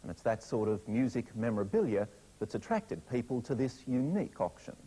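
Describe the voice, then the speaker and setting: A man's voice: mid-range voice, big pitch swings, brisk, mellow, rough recording. A man delivering a scripted news report.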